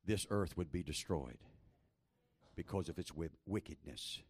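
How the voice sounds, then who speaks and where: A man preaching a fervent sermon in a small church, repeating phrases and building to shouted exhortation over a handheld microphone. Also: low voice, measured pace, breathy edge. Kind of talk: Pentecostal-style sermon.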